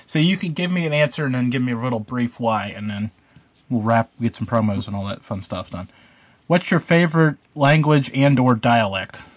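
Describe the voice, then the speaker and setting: A man hosting an interview, briskly steering toward the close. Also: medium pitch, animated, dry recording. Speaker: podcast host.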